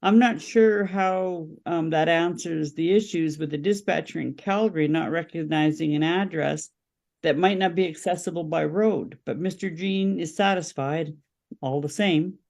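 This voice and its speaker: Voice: mid-range voice, normal speed, gravelly, a little mellow. A podcast guest, speaking as a political campaign representative and explaining positions in an interview.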